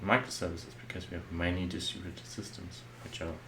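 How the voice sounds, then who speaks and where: Low-voiced German man explaining software. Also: level tone, brisk, a little hiss or hum. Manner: loose and conversational, many "well" and "uh" fillers. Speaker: software architect presenting. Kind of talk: explanatory slide talk.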